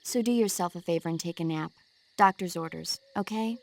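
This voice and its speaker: An actress playing a friendly female medic who gives gentle instructions over the radio in a video game. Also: lowish voice, a little fast, gravelly. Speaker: doctor.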